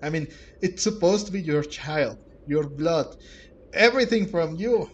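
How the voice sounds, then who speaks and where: A man giving casual let's play commentary, haltingly, repeating words as he searches for phrasing. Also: a little high, expressive, highs cut off, a little hiss or hum. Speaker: gaming streamer.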